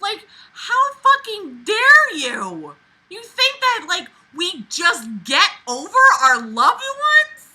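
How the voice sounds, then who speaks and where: A woman reading aloud and commenting with exasperated, animated, emphatic reactions that swing dramatically in intensity. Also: very high voice, takes it slowly.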